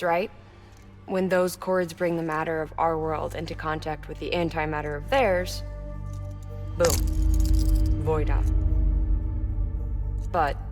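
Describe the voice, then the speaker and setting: An actress playing a woman engineer in a video game cutscene, explaining new gear in a brisk, matter-of-fact way. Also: deep voice. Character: grown woman engineer, brisk, later sorrowful. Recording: game audio with score underneath.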